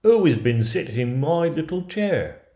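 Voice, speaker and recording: A man's voice: fairly high pitch, big pitch swings, moderate pace, dark tone, pitched above the performer's own voice. A man voicing small, fussy, kindly dwarves in a fairy tale, with an exclamatory, emphatic delivery. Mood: indignant, puzzled. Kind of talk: fairy tale character voices. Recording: roomy echoing recording.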